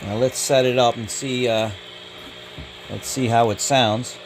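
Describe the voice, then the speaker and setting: A man's voice: mid-range voice, fairly even intonation, a little slow, background noise. A man giving a casual hands-on product review in a matter-of-fact way, listing details in short clipped phrases. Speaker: radio hobbyist reviewer. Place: indoors.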